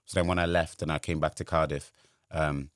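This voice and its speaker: A man's voice: a bass voice, level tone, brisk, no echo, clean studio sound. A man, a guest telling his career story in casual chat, rambling with lots of fillers. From Wales. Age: 20s. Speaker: guest, sports journalist.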